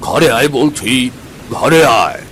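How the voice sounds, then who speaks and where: An actor playing a stern, cautious old village grandfather in a cartoon, slow and scolding. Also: fairly high pitch, lively intonation, fairly bright tone, slight background noise. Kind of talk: cartoon story acting.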